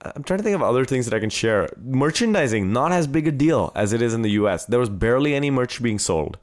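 A man's voice: medium pitch, expressive, fast talker. An American man hosting a podcast, chatting informally and with enthusiasm as he recounts things. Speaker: podcast host. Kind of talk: casual chat, recounting a trip.

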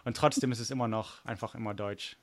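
A man's voice: medium pitch, fairly even intonation, fast talker, no room sound. An American man, a native English speaker, co-hosting a podcast in casual chat, self-deprecating and full of restarts. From United States. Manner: chatty, self-deprecating, frequent restarts and fillers. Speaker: podcast co-host.

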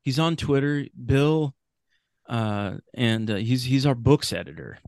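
A man's voice: medium pitch, lively intonation, unhurried, darkish tone, no room sound, clean studio sound. An American man in casual podcast conversation as a guest, opinionated and casually profane. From Sacramento, California, United States. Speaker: podcast guest, host of his own show.

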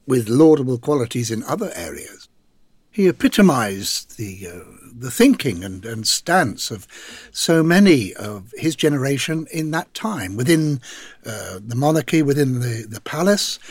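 A male actor speaking in an interview, thoughtful and a little halting as he explains his role. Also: medium pitch, husky, no echo, studio quality. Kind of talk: interview commentary.

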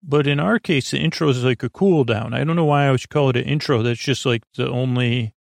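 A man's medium-pitched voice with a creaky, fried edge, recorded close and dry. He rambles through an improvised bedtime podcast monologue, stumbling over words and wandering into tangents. His delivery is absent-minded and self-deprecating. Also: no echo. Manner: halting and meandering, stammers and trails off into tangents. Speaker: host of a bedtime sleep podcast.

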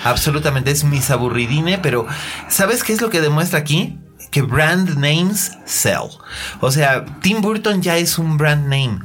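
A man's voice: a little high, expressive, measured pace, a bit bright, faint music behind. A man with a Mexican accent, co-hosting a casual film chat with gossipy, sardonic asides. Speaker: podcast co-host.

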